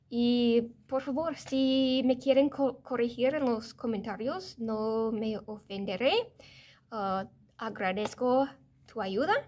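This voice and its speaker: A fairly high-voiced woman in her thirties with an American English accent, talking straight to the camera in a casual vlog. She often stops to correct her own words and gestures as she goes. The sound is that of a camera sitting on a desk in an indoor office. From United States. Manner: chatty, self-correcting, animated hand gestures. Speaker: vlogger. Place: office or classroom, indoors, medium room.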